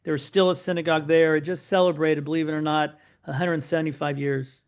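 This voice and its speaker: A middle-aged man, his voice sitting a touch high for a man. He tells long family anecdotes in a lively, rambling way, stacking one aside on another. His pitch rises and falls a lot as he talks. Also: fairly quick, clear, resonant tone. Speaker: podcast guest, son of Holocaust survivors. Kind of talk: storytelling in an interview.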